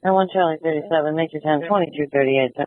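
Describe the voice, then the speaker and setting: A male police dispatcher with a fairly high voice speaks in short procedural bursts, restating details as he goes. He comes over a narrow, tinny scanner feed that is close and dry, with no room sound. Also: fast, dry recording. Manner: clipped, procedural, repeats key details. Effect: police radio. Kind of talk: emergency radio dispatch.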